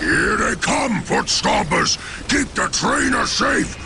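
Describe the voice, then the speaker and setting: A man voicing a brutish, boastful ork warboss in a video game, with a gravelly Cockney growl and crude, belligerent manner. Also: high voice, sing-song, takes it slowly, noisy background, heavily acted. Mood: barking orders. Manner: crude, bellowing orders and taunts. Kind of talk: video game character acting.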